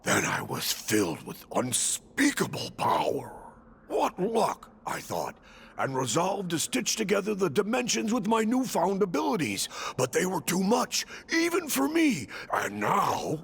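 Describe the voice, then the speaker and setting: An actor playing a boastful, melodramatic warrior who wanders between dimensions, speaking in a grandiose, florid, theatrical way with a gravelly voice in a video game. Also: male voice, huge pitch swings, measured pace, heavily acted. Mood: boastful, dramatic. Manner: grandiose, florid, swinging from hushed to booming.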